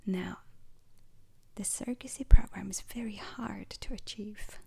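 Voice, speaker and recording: A breathy, whispered female instructor from a space agency, acted by a woman for an ASMR roleplay. She lectures very slowly and formally, pausing often between short phrases. The voice is husky with some creak, and stays calm throughout. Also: medium pitch, exaggerated voice. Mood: calm, instructive. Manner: slow, deliberate, hesitant pauses, formal wording.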